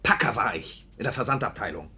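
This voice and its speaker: An actor playing an agitated grown son, earnest and urgent, in a 1950s German radio drama. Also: male voice, on the low side, expressive, quick pace, gravelly, pushed and bright, husky, full character voice. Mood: grimly insistent. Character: disillusioned grown son, agitated, desperate for honesty.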